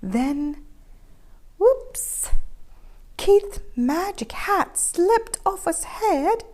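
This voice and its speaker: Lady reading a kids book out loud, really slow, super expressive up and down voice. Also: very high-pitched. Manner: expressive, asks the listening children questions. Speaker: storybook reader for children. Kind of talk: animated children's read-aloud.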